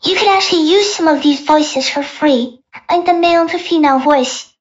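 A man's voice: extremely high pitch, moderate pace, voice pushed up. A man speaking through an AI voice changer as a young female-sounding demonstrator. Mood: explanatory. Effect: real-time AI voice changer. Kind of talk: software demonstration. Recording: dull lo-fi processed sound.

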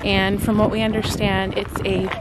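A woman's voice: mid-range voice, flat delivery, normal speed. A woman in her thirties talking cheerfully to a handheld camera on a desert hiking trail, chatting directly to her viewers. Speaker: travel vlogger. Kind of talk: casual vlog talking to camera on a hike. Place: outdoors on a desert mountain trail. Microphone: handheld camera at arm's length. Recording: outdoor recording with clear background noise.

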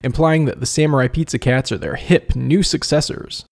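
A man narrating a scripted comedic review as host, in an expressive, wry and conversational manner. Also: medium pitch, normal speed, creaky. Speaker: video essay host and reviewer.

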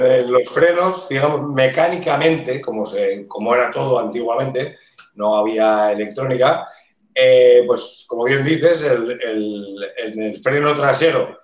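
Hesitant man explaining technical details. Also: mid-range voice. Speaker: engineer panelist. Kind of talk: live debate on a video call. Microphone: video call. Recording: roomy, dull video call audio.

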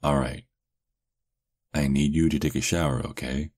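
A man playing a doting, possessive vampire lover in an intimate ASMR roleplay, murmuring softly and coaxingly. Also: a bass voice, fairly even intonation, normal speed, no echo. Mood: playful, attentive.

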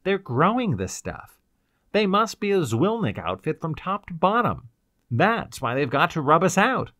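A man voicing a desperate, excitable young captive with strained, urgent outbursts in a pulp science fiction audiobook. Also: fairly high pitch, measured pace, no echo, studio quality, voice pushed up. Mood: bitter.

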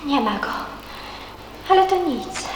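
High-voiced, earnest young girl. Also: animated, takes it slowly, noisy background. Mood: subdued, caring. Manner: earnest, gentle, eager to help. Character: kind, earnest, helpful girl named Kasia. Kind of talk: Polish radio play acting.

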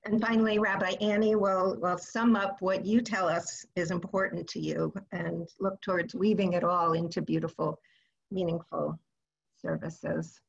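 A woman's voice: fairly low pitch, little pitch movement, normal speed, gravelly, slightly rough, muffled recording. A woman moderating a congregation town hall, speaking hesitantly with many fillers as she introduces the rabbi.

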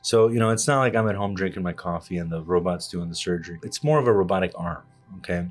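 A man in his thirties from Cuba, raised in Miami, an orthopedic surgeon explaining his work in a measured way with many fillers, in a studio podcast on a desk mic. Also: deep voice, faint music behind. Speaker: orthopedic surgeon, interview guest. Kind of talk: hospital podcast interview. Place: small studio set with plant and armchairs. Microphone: desk podcast microphone on arm.

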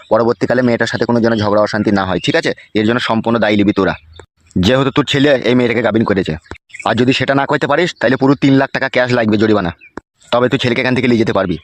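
A man's voice: mid-range voice, lightly acted. A man voicing an angry, coarse, blustering village elder in a comic cartoon, with a rural West Bengal accent. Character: angry, foul-mouthed village elder judging a dispute.

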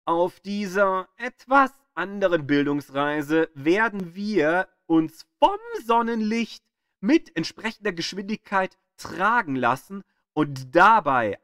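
A man reading scripted documentary narration as an audio guide, in a deliberate storytelling way with dramatic emphasis. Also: sits high, fairly bright tone, dry recording, clean studio sound.

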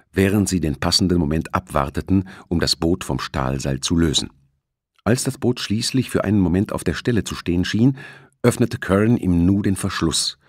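A man narrating a thriller audiobook in a dramatic, measured storytelling manner. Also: sits low, no echo, studio quality.

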